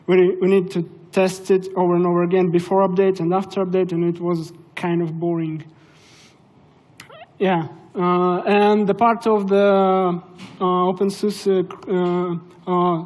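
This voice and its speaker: A man's voice: sits high, a little mellow. Man with a Russian accent. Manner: casual, hesitant, many fillers. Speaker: conference speaker. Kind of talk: conference tech talk. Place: conference hall.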